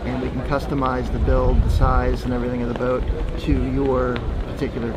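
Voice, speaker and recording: A middle-aged man, a yacht sales representative, calmly listing features with frequent pauses while outdoors on a docked yacht. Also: medium pitch, very noisy. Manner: matter-of-fact, lists features with frequent uh and um. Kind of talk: product walkthrough in conversation.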